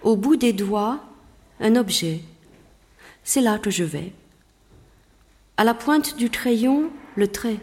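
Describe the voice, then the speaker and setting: A woman reading poetic lines in a hushed, deliberate way. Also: fairly high pitch, animated. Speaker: reader. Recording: long reverb from a live hall.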